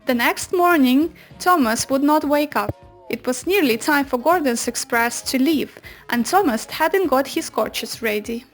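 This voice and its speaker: A woman reads a children's storybook aloud. Her voice is high and she takes her time over the scripted narration, with light music underneath. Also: animated, measured pace. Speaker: children's book reader.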